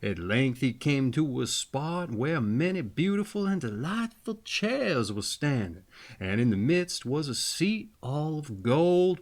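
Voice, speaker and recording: Man reading fairy tales with very animated pitch. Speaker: storyteller, reader of fairy tales. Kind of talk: expressive fairy-tale reading with casual asides.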